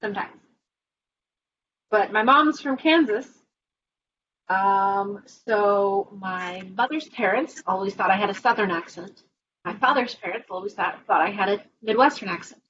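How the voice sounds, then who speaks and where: Bright-voiced woman from Alabama. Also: medium pitch, unhurried, dull audio, a little hiss or hum. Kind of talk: casual video-call chat. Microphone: video call.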